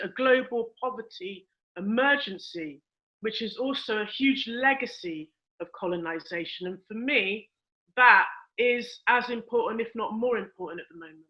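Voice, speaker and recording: A woman speaking off the cuff as a panelist, in a measured, opinionated and emphatic way. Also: a little high, highs cut off.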